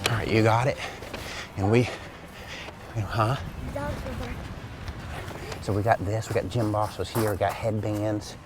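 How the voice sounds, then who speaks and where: Male kids' gym host, low voice, playful and casual, directing a helper over loud music. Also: steady tone, a little slow, long pauses. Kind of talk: casual chat while setting up a prank.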